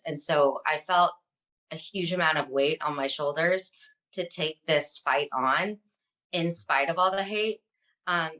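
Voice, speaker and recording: Bright, low-pitched woman. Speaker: interview guest, online advocate and influencer. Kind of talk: conversational interview answers.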